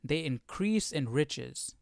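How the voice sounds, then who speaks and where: A man's voice: a little high, lively intonation, slow pace, gravelly, a little mellow, no room sound, clean studio sound. A man hosting a casual live Bible study, explaining as he thinks aloud and often posing questions. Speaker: livestream Bible study host.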